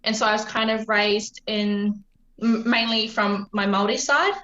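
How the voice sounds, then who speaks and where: A young woman with a New Zealand accent talks through her upbringing in an open, reflective way, often pausing on "um." Her voice is bright and edgy. It comes over a remote call, muffled and echoing in a roomy space. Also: mid-range voice, little pitch movement. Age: 20s. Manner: open, reflective, many "um" fillers. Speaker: podcast guest. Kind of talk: casual conversational storytelling.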